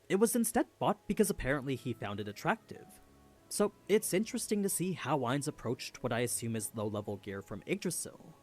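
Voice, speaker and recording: Man narrating with lively, swinging pitch. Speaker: narrator of an explainer video. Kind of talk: scripted narration.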